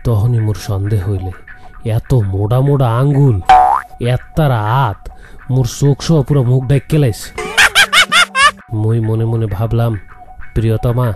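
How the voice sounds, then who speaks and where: Guy doing heartbroken drama-king student, barisal accent, wails and rants, comic radio play w music behind. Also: lightly acted. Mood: mournful lament. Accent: Barisal, Bangladesh. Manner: theatrical, swings from slow lament to shrill outrage. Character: melodramatic jilted young hostel student, heartbroken and indignant.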